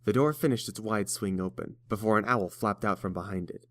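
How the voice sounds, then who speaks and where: A man's voice: on the low side, a little fast, a bit bright, dry recording, studio quality. A man reading scripted fiction aloud as the narrator of an audiobook.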